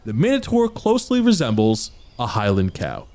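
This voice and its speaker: Lively man running a tabletop game. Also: medium pitch, moderate pace. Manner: laughs often, animated. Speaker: dungeon master. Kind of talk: closing a tabletop game session, then narrating supporter stories.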